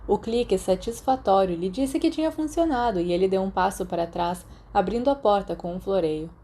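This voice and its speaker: A woman with a Brazilian accent narrates fiction in audiobook style. Her tone is mellow and dark, at a medium pitch. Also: animated, brisk. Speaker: podfic narrator.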